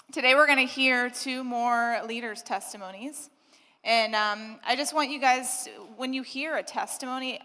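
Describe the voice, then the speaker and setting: A woman's voice: on the high side, bright, forceful tone, in a large hall. A young woman hosting a church youth gathering, introducing speakers with a hesitant, um-filled delivery. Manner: hesitant, many "um"s. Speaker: youth ministry host.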